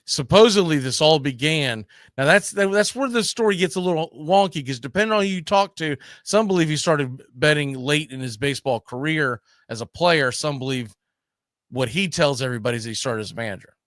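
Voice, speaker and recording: A man's voice: a little high, fairly quick, fairly bright tone, no room sound, clean studio sound. A man hosting a sports talk show, telling baseball history with an emphatic, storytelling delivery.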